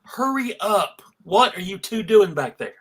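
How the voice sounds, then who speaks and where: A man's voice: high-pitched, big pitch swings, a little slow, a bit bright, husky, full character voice. A man playing a terse, tough female warrior leader, curt and commanding, in a live script read.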